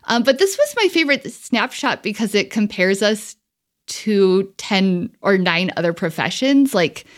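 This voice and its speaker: A woman with a mid-pitched voice hosts an interview podcast. She talks informally and conversationally, often dropping "like" into her sentences. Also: lively intonation, measured pace. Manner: informal, frequent "like" as a filler. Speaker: podcast host.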